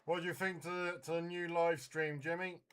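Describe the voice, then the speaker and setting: A man's voice: fairly high pitch, level tone, fairly quick. A man hosting a casual CB radio net, chatting in a friendly, informal way. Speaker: CB radio net controller and live stream host. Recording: very noisy CB radio live stream.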